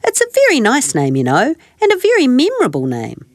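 A woman's voice: high-pitched, wildly swooping pitch, brisk, no echo, full character voice. A woman voicing a kindly, earnest toy who organises and reassures friends in a children's story. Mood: reassuring. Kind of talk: children's storybook character reading.

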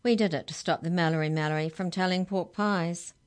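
A woman's voice: lowish voice, fairly even intonation, a little fast, smooth, lightly acted. A woman voicing a sly female giant pie maker in a children's story, insistent and self-justifying.

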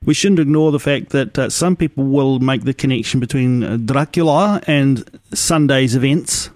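A male radio host with a mid-pitched voice. He presents in measured, slightly hesitant phrases, close to the microphone in a dry studio. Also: a little mellow, dry recording.

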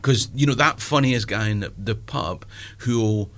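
A man's voice: fairly low pitch, a little slow, fairly bright tone. A Scottish man in his fifties, a stand-up comedian chatting in an interview, self-deprecating and full of asides. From Scotland. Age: 50s. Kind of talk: casual interview chat.

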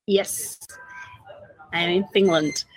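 Young woman, from peru, fairly low voice, hesitant, thoughtful interview answers. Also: steady tone, slow pace, a little hiss or hum, low-quality recording. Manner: thoughtful, hesitant, many fillers. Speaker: guest, PhD researcher.

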